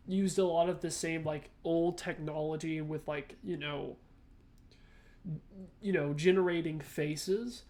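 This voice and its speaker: A man hosting a reaction video, giving casual off-the-cuff commentary and thinking aloud in halting, piecemeal phrases. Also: on the high side, a little mellow.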